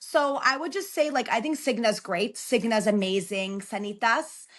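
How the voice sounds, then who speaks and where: Woman, thirties, from Chicago, slightly high voice, casual and encouraging, lots of fillers. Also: normal speed, fairly bright tone. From Chicago, United States. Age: 30s. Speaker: podcast guest, relocation consultant. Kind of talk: casual interview talk.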